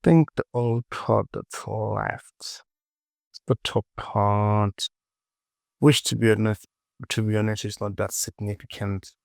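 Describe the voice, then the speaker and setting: A man with a fairly low, creaky voice walks through a software tutorial at a slow pace. He is informal and repeats words as he talks to himself. The recording is close and dry. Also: steady tone, dry recording. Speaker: 3D modeling tutorial presenter.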